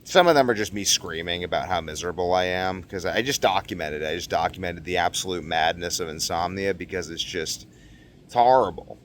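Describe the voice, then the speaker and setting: A young man talking casually to camera in a rambling, slangy vlog monologue, animated and given to acting out what people said. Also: low-pitched, fairly quick, slight background noise. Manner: animated, exclamatory, slangy, acts out quotes. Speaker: vlogger.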